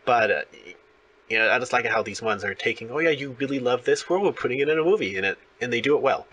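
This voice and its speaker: A man's voice: medium pitch, animated, fast talker, fairly bright tone, slightly rough, lo-fi sound. A man hosting an interview in casual fan chat, talkative and full of opinions. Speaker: interview host.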